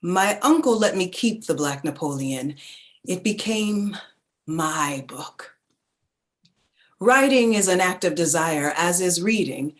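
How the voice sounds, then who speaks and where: An actress playing a reflective woman narrator who recalls her childhood in a contemplative, deliberate literary reading. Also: medium pitch, lightly acted.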